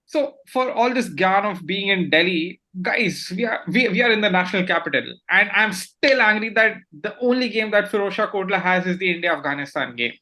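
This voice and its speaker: A man's voice: high voice, lively intonation, fairly quick, few pauses, sharp tone. A man co-hosting a casual cricket chat, eager and chatty. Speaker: co-host.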